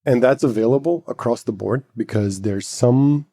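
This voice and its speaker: A man's voice: mid-range voice, moderate pace, dark tone. A man whose first language is Arabic, co-hosting a casual tech podcast and explaining things step by step with filler words. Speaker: podcast co-host.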